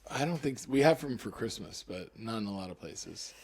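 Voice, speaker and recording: A man's voice: fairly low pitch, lively intonation, a little fast. Wry man riffing on a podcast. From Florida. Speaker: comedian co-host. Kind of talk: casual podcast chat.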